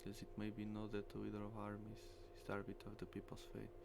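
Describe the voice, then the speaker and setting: Gravelly man reading aloud. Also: fairly low pitch, completely flat delivery, fast talker. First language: Portuguese. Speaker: streamer. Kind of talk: reading a visual novel aloud. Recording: clearly noisy stream with hum.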